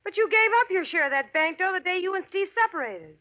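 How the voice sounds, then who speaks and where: An actress playing a hard-boiled, sardonic American gangster's moll with tough, sarcastic slang, in 1940s radio crime drama style. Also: female voice, extremely high pitch, sing-song, fast, bright, forceful tone, full character voice. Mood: disapproving. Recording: dull, band-limited old radio recording.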